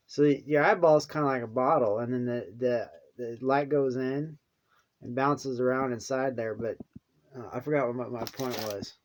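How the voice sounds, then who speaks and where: Guy vlogging, rambly and halting, trails off quiet then perks up, mid pitch. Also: steady tone. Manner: halting, many restarts and fillers, mumbles softly then perks up. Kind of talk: rambling casual monologue.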